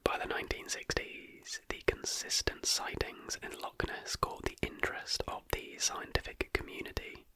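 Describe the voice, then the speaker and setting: A host whispering a scripted ASMR narration softly from start to finish. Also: normal speed, few pauses. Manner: soft whispering throughout.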